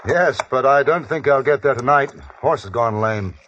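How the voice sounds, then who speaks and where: An actor playing a courteous, worldly English gentleman reporter in a western radio drama, with a British accent. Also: mid-range voice, moderate pace, slight background noise, lightly acted. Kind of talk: 1950s radio drama acting and narration. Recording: dull vintage 1950s radio recording, faint music.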